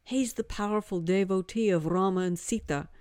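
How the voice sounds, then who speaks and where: A woman's voice: medium pitch, normal speed, a little mellow, smooth, no echo, studio quality, close to an everyday voice. Casting: woman reading a young man around twenty, devout, earnest, a bit insistent, storybook.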